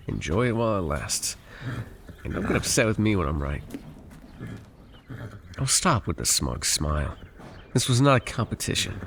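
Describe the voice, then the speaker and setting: A man playing a gruff, teasing, easily exasperated guide for hire in an ASMR audio roleplay, speaking straight to the listener in a dry, folksy way. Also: a little hiss or hum. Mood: stern, grudging. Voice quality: low, gravelly, husky. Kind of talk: ASMR audio roleplay, one-sided dialogue addressed to the listener.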